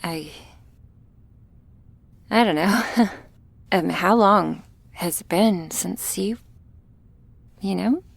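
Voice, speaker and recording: A woman playing a shy, awkward young female vampire in an intimate ASMR roleplay, speaking softly and hesitantly, often close to a whisper. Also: medium pitch, vocal fry, breathy edge, no echo, heavily acted. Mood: flustered, bashful. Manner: hesitant, halting, soft, often near a whisper.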